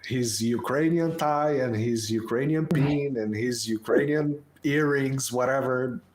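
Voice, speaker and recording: A man from Portugal hosting a casual political podcast chat, speaking in halting bursts and repeating words, with wry remarks. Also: mid-range voice, darkish tone. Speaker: podcast host.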